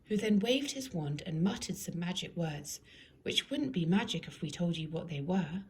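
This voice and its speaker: A woman narrating a children's storybook in an expressive, deliberate storytelling manner. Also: fairly low pitch, a little hiss or hum. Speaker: storybook reader.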